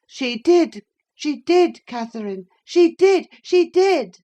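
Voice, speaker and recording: A woman's voice: on the high side, slow, a little mellow, voice pushed up. A woman voicing a sickly, petulant teenage boy in an audiobook, whining and self-pitying. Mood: taunting. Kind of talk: audiobook character reading.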